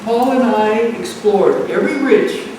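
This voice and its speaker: Woman in her nineties, halting delivery, repeated phrases, personal reminiscence, very reverberant community hall, distant microphone. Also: medium pitch. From United States. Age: 90s. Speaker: speaker at a family art show. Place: community center event room. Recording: echoey, noisy room, distant microphone.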